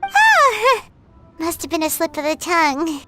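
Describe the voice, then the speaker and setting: A tiny game fairy with an extremely high, bright voice. She is chirpy and excitable like a child, with big swoops in pitch. Soft music plays behind her. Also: unhurried, heavily acted. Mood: flustered. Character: tiny cheerful high-voiced floating fairy companion girl. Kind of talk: video game voice acting.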